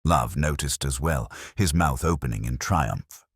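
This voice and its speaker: Guy narrating a mystery, super deep husky voice, very dry recording. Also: expressive, a little slow, dry recording. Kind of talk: scripted narration of a mystery story.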